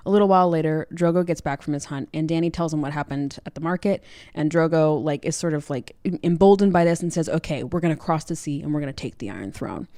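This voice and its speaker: A woman with a low voice in a dry, close recording chats casually as a podcast co-host. She retells a story informally, slipping into bits of dialogue as she goes. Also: quick pace, dark tone, no echo. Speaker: book club podcast co-host. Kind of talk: casual chatty book recap.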